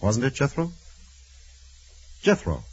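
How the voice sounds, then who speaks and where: A man's voice: mid-range voice, wildly swooping pitch, slow pace, over music, full character voice. A man playing a troubled, self-doubting prison warden who broods and questions in a 1940s radio drama. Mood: troubled, shaken. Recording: muffled hissy old radio recording.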